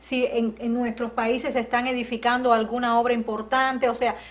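Woman, middle-aged, TV host, fairly high voice, welcoming, prompting questions, TV studio, lapel mic. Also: flat delivery, normal speed. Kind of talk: studio interview. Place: TV studio set.

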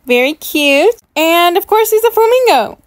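A woman's voice: very high voice, animated, normal speed, no echo. A woman giving chatty, gushing commentary as a gaming YouTuber unboxing items in a game. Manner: enthusiastic, gushing.

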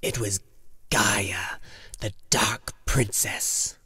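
Slow, whispery dying werewolf. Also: male voice, fairly low pitch, fairly even intonation, bright, forceful tone, no room sound, clean studio sound, heavily acted. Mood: bleak. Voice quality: breathy, whispery growl. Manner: slow, drawn-out, scornful delivery. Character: dying old male werewolf, bitter, menacing, weary.

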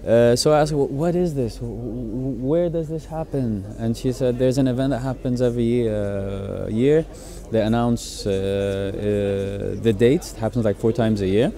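A man's voice: medium pitch, a little slow, vocal fry, hardly any pauses, dark tone. A man in his thirties from Egypt telling a story hesitantly, with many restarts, in a casual interview. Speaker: car enthusiast.

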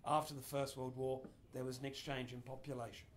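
A man's voice: medium pitch, flat delivery, a little fast, a little hiss or hum. A middle-aged man giving an informal history lecture in a small indoor room, gesturing broadly as he explains. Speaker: speaker giving a history talk.

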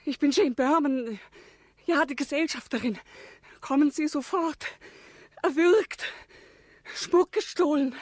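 A woman's voice: sits high, slow, long pauses, dry recording, studio quality. A woman playing an agitated lady's companion in a radio crime drama, speaking in urgent, clipped exclamations. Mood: panicked, urgent.

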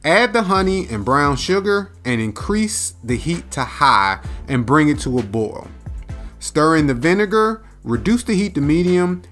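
Lively-pitched man reading a recipe. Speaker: host of a cooking demonstration.

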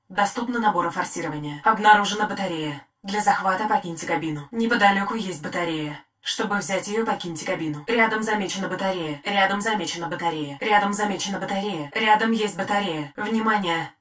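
An actress playing a loyal female titan robot AI in a video game, delivering crisp, formal military callouts. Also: medium pitch, a little fast, hardly any pauses, a bit bright, natural voice. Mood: composed. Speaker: in-game battle announcer. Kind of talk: short scripted combat callouts.

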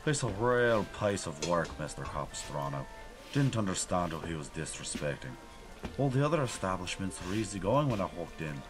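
An actor playing a nervous, hotheaded Irish gangster informant in an old-time radio drama, with an Irish accent. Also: male voice, low-pitched, sing-song, normal speed, music in the background. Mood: indignant. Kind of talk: 1930s radio drama acting.

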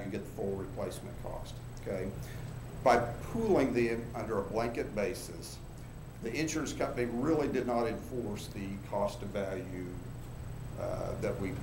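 Low-voiced man in an echoey, noisy room. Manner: plain, step-by-step explaining. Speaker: insurance agent presenting. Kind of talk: explanatory presentation at a public meeting. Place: large indoor board room, standing before the board.

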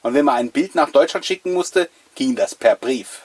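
A man's voice: medium pitch, lively intonation, normal speed, husky. A middle-aged man, chatting enthusiastically and effusively to viewers as the host of a collectors' show. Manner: effusive, addressing viewers directly. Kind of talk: enthusiastic chatty presenting to camera.

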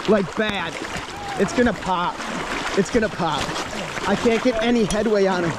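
A young American man vlogging while fishing in a river, excitable and slangy, with loud exclamations, recorded on a head-mounted camera. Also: sits high. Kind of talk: excited outdoor vlog commentary. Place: outdoors, wading in a river. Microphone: head-mounted action camera. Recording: loud rushing river noise.

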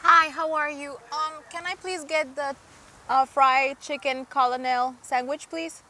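A young woman co-hosting a vlog in casual, polite talk. Her voice is high and bright. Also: steady tone, a little slow. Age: 20s. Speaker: vlog co-host.

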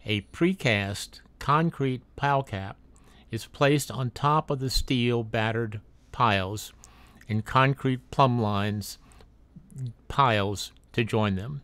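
A man's voice: medium pitch, slow pace, a bit bright, clean tone, no echo. A man narrating a drone video as a read-aloud factual voice-over, with occasional slips and restarts. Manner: reads a factual text, with small slips and restarts.

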